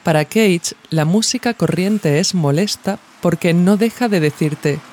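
A narrator reads a scripted audio essay in a measured, reflective way, phrasing each thought deliberately. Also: medium pitch, a little mellow. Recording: music behind the voice. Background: music.

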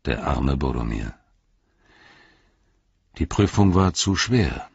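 A man voicing a grave, stern captain of men who speaks slowly, quietly and formally in a storybook reading. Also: a bass voice, expressive, creaky, slightly rough, full character voice. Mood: sorrowful. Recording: slightly dull, roomy sound.

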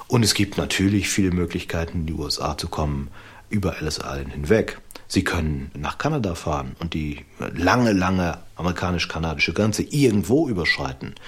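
A man's voice: deep voice, slightly rough. A man speaking as an expert in an interview, explaining freely and repeating words for emphasis. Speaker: historian expert.

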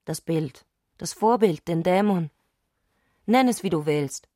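A woman's voice: lowish voice, lively intonation, slow, mellow, no echo, clean studio sound. A fervent, visionary woman, closely recorded. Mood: exalted, insistent. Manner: insistent, declarative, visionary. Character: Clarisse, fervent, eccentric woman obsessed with madness. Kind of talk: literary radio drama acting.

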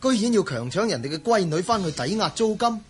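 An actor playing a curious, courteous wandering gentleman swordsman in a radio drama, theatrical in delivery. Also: high voice, big pitch swings, fairly quick, husky, soft background music, heavily acted. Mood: indignant. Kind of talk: Cantonese radio drama acting.

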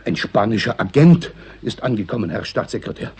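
An actor in a 1960s radio play, playing a formal, fussy and suspicious male government official with a husky voice. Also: on the low side, very animated, brisk, darkish tone, exaggerated voice. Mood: agitated.

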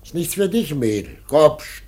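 A man's voice: fairly high pitch, big pitch swings, unhurried, a little mellow. An actor playing a stern, conceited father in a 1950s radio play, dismissive and peremptory. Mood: stern, scornful. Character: stern, conceited father forbidding his daughter's suitor.

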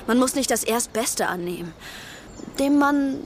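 A woman's voice: fairly high pitch, animated, a little slow, a little hiss or hum. An actress playing a flighty, self-absorbed young bohemian woman in a theatrical, breezy radio drama style. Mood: dreamy, playful.

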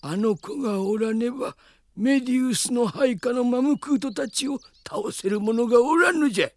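Creaky, high-voiced old dragon-kin man. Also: normal speed, no echo. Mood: gruff, solemn. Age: older. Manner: archaic old-man speech, theatrical. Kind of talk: Japanese radio drama acting.

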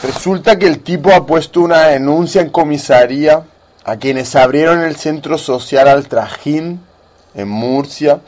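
A man's voice: a little high, measured pace, a little mellow. A man speaking colloquially and mock-earnestly as the patient in a satirical radio sketch. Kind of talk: slow, casual satirical sketch dialogue.

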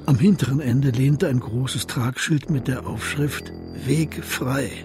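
A man's voice: medium pitch, slow pace. A man reading scripted narration for a German crime radio play. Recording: faint music behind voice.

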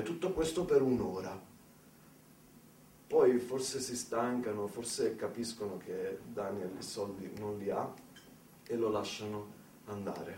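A man performing a theatrical storytelling monologue in a conversational, ironic way, with dramatic pauses and emphasis. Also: medium pitch. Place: echoey indoor performance space, mic at a distance. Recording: echoey room, distant microphone.

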